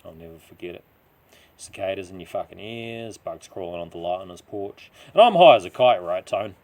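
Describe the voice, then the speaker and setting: A man acts out a rough-living New Zealand taxi driver with a dark past in a crime story. He talks in slangy, confiding rambles and insists on being heard. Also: sits low, lively intonation, brisk. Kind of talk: character dialogue in audio story.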